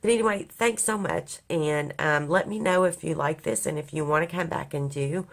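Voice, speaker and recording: Woman crafter from Kentucky, very low raspy voice, hoarse from a cold, rambling homey chat. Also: moderate pace. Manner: rambling, many ums. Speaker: craft vlogger. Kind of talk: casual crafting vlog. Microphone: overhead camera on a craft table.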